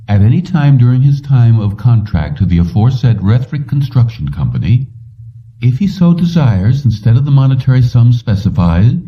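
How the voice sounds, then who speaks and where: A man voicing a brisk, patient and matter-of-fact young woman paymaster in an audiobook story. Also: medium pitch, distant mic, lo-fi sound, pitched above the performer's own voice, close to an everyday voice. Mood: businesslike.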